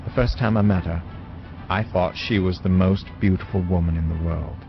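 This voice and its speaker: A wistful storyteller looking back on his youth in an audio drama. His voice is low and creaky, his delivery measured and reflective, with music clearly behind the words. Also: steady tone, highs cut off. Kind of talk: first-person dramatic narration.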